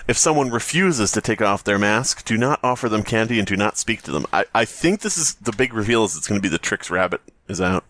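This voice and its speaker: A man's voice: fairly low pitch, animated, brisk, hardly any pauses, a bit bright, no echo. A man hosting a podcast in casual, joking banter, often given to laughing as he talks. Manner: laughs often while talking. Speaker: podcast host.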